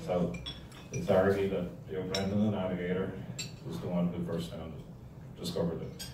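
Low-voiced older Irish man, very echoey room. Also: level tone, normal speed. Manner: rambling, wry storytelling. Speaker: club talk speaker. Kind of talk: humorous informal history lecture with slides. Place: indoor hall with projection screen. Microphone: handheld or stand microphone, recorded from audience camera. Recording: echoey room, distant mic, noisy background.

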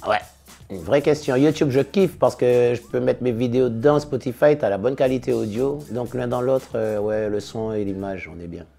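A man in his fifties, a singer and DJ, answering interview questions in a relaxed, casual way, full of slangy shout-outs. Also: medium pitch, moderate pace, gravelly, loud music behind the voice. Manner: relaxed, laid-back, with slangy big-ups. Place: studio in front of blue backdrop.

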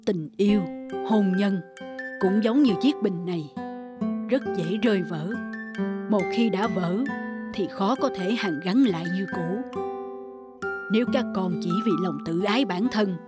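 A woman voicing a gentle, wise elderly mother in a cartoon, speaking softly and steadily. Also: medium pitch, unhurried, long pauses, close to an everyday voice. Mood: earnest. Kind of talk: cartoon voice acting. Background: music.

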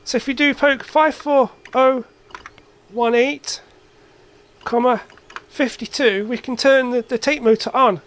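A man's voice: very high-pitched, fairly even intonation, a little slow, fairly bright tone. A man giving a casual, hands-on demonstration as an electronics hobbyist in his workshop. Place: cluttered indoor electronics workshop.

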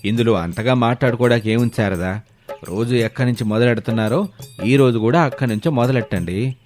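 A man voicing an older, teasing father-in-law who questions and chides in an animated moral story. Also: mid-range voice, quick pace, faint music behind, lightly acted. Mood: chiding.

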